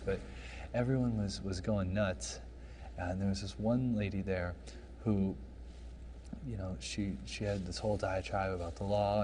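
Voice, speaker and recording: A man with a low, mellow voice hosts a talk show, wandering through dry, rambling stories full of fillers. Faint background ambience hums under him. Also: slight background noise. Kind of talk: soft-spoken comedic talk show chat.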